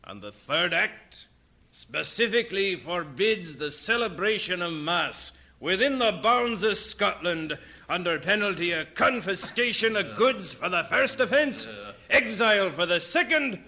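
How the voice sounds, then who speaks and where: Fervent religious reformer, stern, declamatory, radio drama, bright highish voice. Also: male voice, expressive, measured pace, heavily acted. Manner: preaching, declamatory. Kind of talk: old radio drama oratory.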